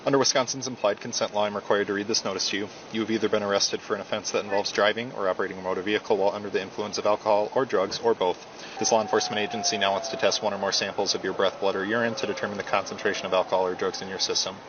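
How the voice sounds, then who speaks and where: A low-pitched police officer talks steadily through a procedure at a quick clip, reading out legal text word for word. His voice comes through a muffled body camera with loud road noise behind it. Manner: calm, patient, reads forms verbatim. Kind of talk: procedural arrest talk.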